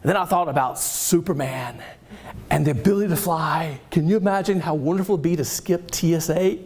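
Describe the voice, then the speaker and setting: A man with a Southern US accent giving an energetic, animated motivational keynote on a conference stage, joking with the audience. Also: fairly high pitch, fairly quick. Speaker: keynote speaker. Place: conference hall, speaking on stage.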